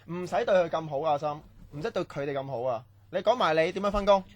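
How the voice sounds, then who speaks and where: A man's voice: a little high, fast, dry recording, natural voice. A man acting a strict, domineering training-camp instructor in a Cantonese radio drama, barking orders and scolding. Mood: stern, bossy.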